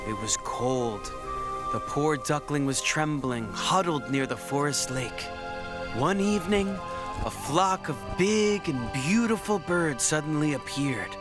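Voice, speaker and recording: A man's voice: fairly high pitch, expressive, measured pace. A man reading a scripted children's bedtime story as the narrator, with music behind him.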